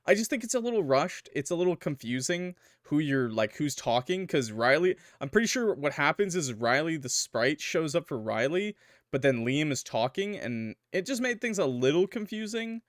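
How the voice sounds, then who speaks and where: A young man giving casual, rambling let's-play commentary as a gaming YouTuber, chatty and quick to laugh, his voice a little worn. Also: a little high, sing-song, dry recording, studio quality. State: losing his voice.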